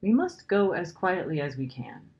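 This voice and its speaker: A woman voicing a gentle, guilt-ridden male faun in a children's storybook, anguished and pleading in a dramatic way. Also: on the low side, big pitch swings, moderate pace, dull audio, faint music behind. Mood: resigned, urgent. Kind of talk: children's storybook character reading.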